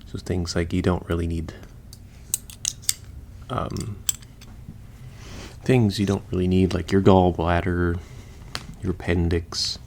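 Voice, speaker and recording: A man's voice: deep voice, fairly even intonation, slow, long pauses, darkish tone, a little hiss or hum. A man playing a chatty, easygoing surgeon who patches up the undead, explaining casually as he works in a listener roleplay.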